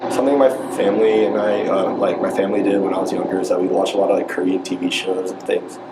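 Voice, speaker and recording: A man's voice: deep voice, flat delivery, fast talker. A young man giving a loose, rambling personal reflection with lots of fillers. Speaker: participant. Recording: far from the mic, noisy.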